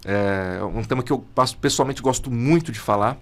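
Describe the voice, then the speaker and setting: A man's voice: mid-range voice, normal speed. A Brazilian psychologist in his fifties, a podcast guest who talks casually and animatedly, with slang like "cara". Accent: Brazilian Portuguese. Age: 50s. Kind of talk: conversational podcast interview.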